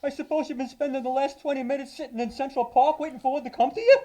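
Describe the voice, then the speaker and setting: An actor playing an exasperated, sarcastic police sidekick in a 1940s radio detective drama, loud and griping. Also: male voice, extremely high pitch, fairly even intonation, quick pace. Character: grumbling, exasperated male police sergeant sidekick.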